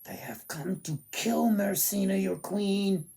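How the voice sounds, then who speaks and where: A man's voice: sits high, takes it slowly, vocal fry, a little mellow, slight background noise, pitched above the performer's own voice, heavily acted. A man voicing a passionate prince in a storybook tale, dramatic and emphatic. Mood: enraged.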